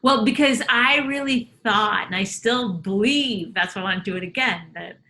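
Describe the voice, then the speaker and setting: A woman's voice: mid-range voice, moderate pace, pushed and bright. A woman comedian in a casual interview, telling loose, rambling stories full of fillers. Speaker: comedian guest.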